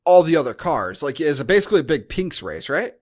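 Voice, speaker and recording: A man's voice: on the high side, sing-song, fairly quick. A man hosting a podcast, recapping a movie in casual chat with dry, sarcastic asides. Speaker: podcast host.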